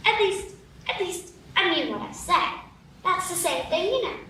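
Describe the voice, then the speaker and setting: Curious, polite young girl played by a woman; very high, lively voice, echoey distant stage. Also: normal speed, exaggerated voice. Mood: puzzled. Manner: inquisitive, exasperated. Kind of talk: live radio play acting. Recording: echoey stage from far off, noisy.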